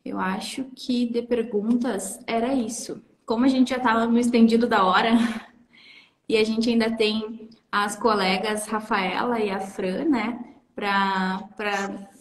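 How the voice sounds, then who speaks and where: A woman's voice: fairly high pitch, steady tone, takes it slowly, reverberant, low-quality recording. A woman hosting a live-stream interview, chatty and friendly as she introduces guests. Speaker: host.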